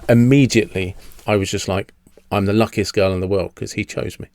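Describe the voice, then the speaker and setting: A man's voice: on the low side, fairly even intonation, brisk, darkish tone, no echo. A man in his fifties hosting a podcast interview, chatting casually and rambling with frequent restarts and repeated words. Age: 50s. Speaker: podcast host.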